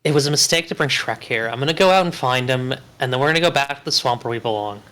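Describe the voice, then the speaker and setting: A determined young princess speaks loud and earnest, as a man reads her. The delivery is quick and bright, a bit off-mic. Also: mid-range voice, close to an everyday voice. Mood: resolute.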